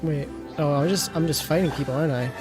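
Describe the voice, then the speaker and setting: A man's voice: on the high side, fast, over loud music. A man giving casual live game commentary as a streamer, wry and often muttering to himself. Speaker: gaming streamer.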